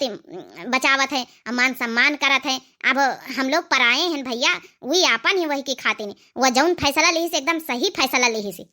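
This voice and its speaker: Cartoon village mother , played by a woman: middle-aged, Awadhi accent, very high bright voice, approving and moralizing. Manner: moralizing, repetitive. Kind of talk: cartoon family drama dialogue.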